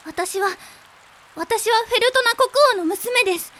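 Very high-voiced young princess. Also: female voice, a little slow, sharp tone, exaggerated voice. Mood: timid pleading. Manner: polite, timid, pleading. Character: young, earnest, frightened sheltered princess. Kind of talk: radio drama acting.

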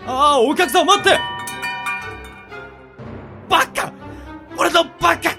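A man playing a hot-blooded, weepy and devoted gangster underling in an amateur radio drama, excitable and stammering. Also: extremely high pitch, slow pace, creaky, music in the background, exaggerated voice. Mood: frantic.

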